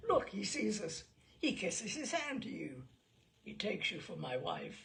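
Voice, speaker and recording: A woman's voice: mid-range voice, very animated, a little slow, vocal fry, a bit bright, full character voice. A woman voicing a bluff, hearty, chatty older male admiral in an audiobook, blustery and rambling with emphatic delivery. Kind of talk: audiobook character dialogue.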